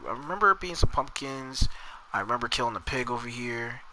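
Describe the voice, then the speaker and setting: A man's voice: mid-range voice, lively intonation, brisk, pushed and bright, a little hiss or hum. A man from New Jersey with a New Jersey accent, rambling casually as he narrates his own gameplay and chats with viewers. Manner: rambling, chatty, talks to chat while playing. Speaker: gamer streamer. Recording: game music behind voice.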